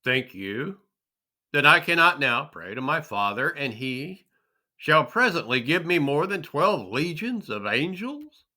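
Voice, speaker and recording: A man plays a calm holy teacher in a scripture drama. He speaks gravely and slowly, with authority. His phrasing is rhetorical, and the pitch moves expressively. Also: full character voice. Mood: firm reproach.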